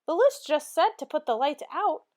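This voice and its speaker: A woman's voice: high voice, lively intonation, moderate pace, pitched above the performer's own voice. A woman voicing a cheerful, naive, earnest housemaid in a storybook, with a folksy, exclaiming manner. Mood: pleased, eager.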